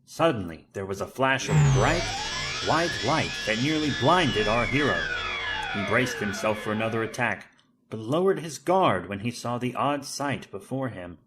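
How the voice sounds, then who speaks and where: A man's voice: medium pitch, lively intonation, normal speed, heavy background noise. A man narrating an action audio drama in a dramatic, scripted storytelling style.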